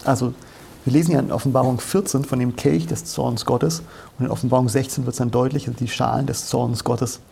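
A man with a mellow, husky voice explains scripture in conversation. He is didactic and conversational, with a creaky edge, and often poses a question before answering it. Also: medium pitch, fairly even intonation, brisk. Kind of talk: conversational Bible exposition.

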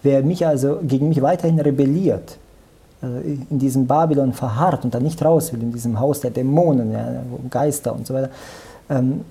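Very dark, creaky man discussing scripture. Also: mid-range voice, fairly quick. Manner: colloquial, emphatic, repeats tags for confirmation. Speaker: religious discussion partner. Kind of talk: casual Bible discussion.

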